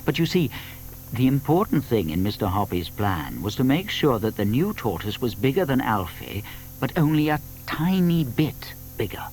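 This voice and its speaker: A man narrating a children's story from a script, with deliberate emphasis. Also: mid-range voice, sing-song, a little mellow, slight background noise, poor audio.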